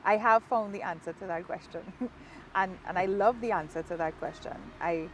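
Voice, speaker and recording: Trinidadian woman, author, reflective and warm, mid-range voice, outdoor interview with faint traffic. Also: mid-range voice, normal speed, low-quality recording. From Trinidad and Tobago. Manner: warm, reflective, laughs easily. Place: outdoors in a park, rain falling.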